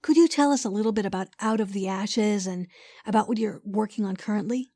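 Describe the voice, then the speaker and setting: A woman's voice: mid-range voice, brisk, warm tone, no room sound, studio quality. A woman hosting a podcast, giving casual announcements and interview questions.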